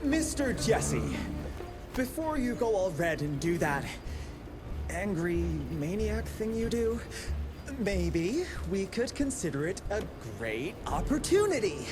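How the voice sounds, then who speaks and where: A chatty young American scientist from a video game, high-pitched and animated. He is nerdy, eccentric and cheeky, speaking over loud music. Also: takes it slowly, full character voice. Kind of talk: video game cutscene acting.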